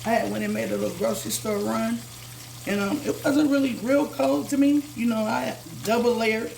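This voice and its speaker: A slightly hoarse woman chats through a home cooking vlog, repeating herself and calling her viewers "guys". Her voice has a gravelly, creaky edge. Faint noise and pan sizzle sit behind her in the kitchen. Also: on the high side, fairly even intonation, moderate pace, darkish tone, poor audio. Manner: chatty, says "guys" constantly, repeats herself. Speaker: cooking channel host. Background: food sizzling in a pan.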